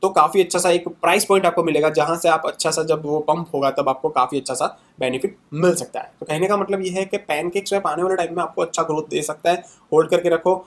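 A young man with a fairly high voice talks loosely through an analysis in an indoor room. He sits at a webcam and keeps tagging his sentences with quick fillers as he walks through charts. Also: fairly even intonation. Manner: conversational, fillers and tags after many sentences. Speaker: crypto channel host. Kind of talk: casual screen-share market analysis.

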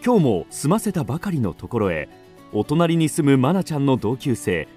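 A man's voice: medium pitch, sing-song, normal speed, mellow, faint music behind. A man narrating a children's radio drama as a scripted storyteller.

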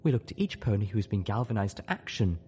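A man voicing a gentle, earnest lady unicorn with firm conviction in an audiobook. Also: on the low side, sing-song, fast talker, long reverb tail, a little hiss or hum. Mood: sad but resolute.

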